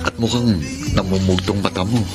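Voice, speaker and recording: A man's voice: deep voice, fairly even intonation, normal speed, over music, close to an everyday voice. A man playing a concerned male friend in a Filipino radio drama, asking questions with a sympathetic Filipino delivery. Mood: surprised, concerned. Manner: inquisitive, sympathetic.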